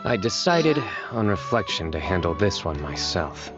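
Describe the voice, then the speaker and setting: An actor playing a composed, earnest young investigator in a video game, speaking in a deadpan, formal, measured way. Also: male voice, sits low. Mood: businesslike. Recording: muffled game audio with music.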